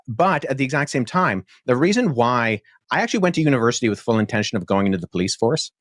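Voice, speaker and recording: A young man speaking as a debate guest, earnest and articulate, laying out his reasoning at a measured pace with frequent fillers. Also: mid-range voice, very animated, a bit bright, no echo, studio quality. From born in Pakistan, childhood in Jordan. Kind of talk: podcast debate answer.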